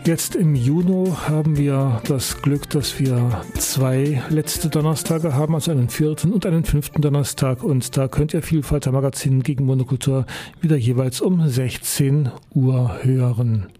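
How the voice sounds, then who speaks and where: A man hosting a community radio show, announcing in a relaxed, chatty way from the studio. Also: medium pitch, moderate pace, soft background music. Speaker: radio host.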